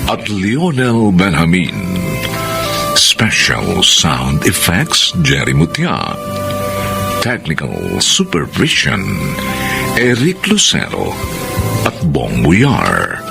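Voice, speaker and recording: Brief: male Filipino radio announcer, low gravelly voice, sweeping intonation, dramatic scripted delivery. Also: wildly swooping pitch. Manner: theatrical, measured, emphatic. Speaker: narrator and announcer. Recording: radio broadcast over loud music. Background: dramatic musical score.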